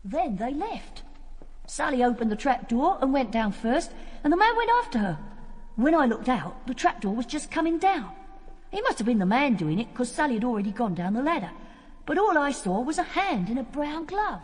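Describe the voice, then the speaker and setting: A high, very animated female witness, recounting earnestly what she saw. Her voice rings in a long hall reverb, in a radio mystery. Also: normal speed. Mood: earnest, recalling. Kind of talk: British radio detective drama.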